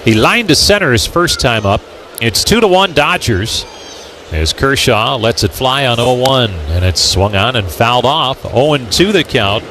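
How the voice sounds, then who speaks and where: Male baseball announcer, animated pitch, radio play-by-play, noisy outdoor ballpark behind him. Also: measured pace. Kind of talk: live sports commentary. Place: ballpark broadcast booth. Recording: ballpark outdoor background noise. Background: ballpark ambience, noise.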